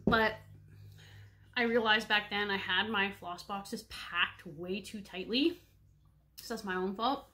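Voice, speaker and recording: A woman's voice: medium pitch, slow, long pauses, pushed and bright. A woman in her thirties with a congested, stuffy nose, chatting casually to viewers from a home room, rambling with many fillers. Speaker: cross-stitch vlogger. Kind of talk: casual show-and-tell chat. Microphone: webcam at desk distance.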